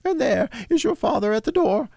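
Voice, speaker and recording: A man voicing a grieving mother who speaks softly and bravely, holding back tears. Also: very high-pitched, very animated, a little fast, vocal fry, dry recording, studio quality, pitched above the performer's own voice, full character voice. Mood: steady. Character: grieving mother, gentle and steady. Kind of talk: audiobook character voice.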